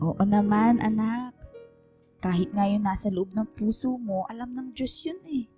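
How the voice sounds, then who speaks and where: A woman playing a gentle, ailing mother in a radio drama, speaking tenderly and reassuringly to her child. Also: medium pitch, slow pace, music in the background, lightly acted. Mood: warm, reassuring. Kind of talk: Filipino radio drama acting.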